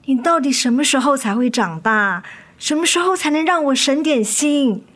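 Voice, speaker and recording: 一位女演员在广播剧中饰演操心又疲惫、爱叮咛的母亲。. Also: female voice, high-pitched, expressive, moderate pace, fairly bright tone. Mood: scolding, worried. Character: worried, weary, nagging mother of two daughters. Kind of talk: radio drama acting.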